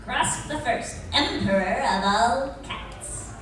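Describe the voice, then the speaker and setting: A small, self-important talking cat emperor voiced by a woman, high and slow. The acting is haughty radio play comedy, heard in an echoey hall with music. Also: expressive, recorded from a distance. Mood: haughty, indignant. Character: proud, indignant little talking cat emperor.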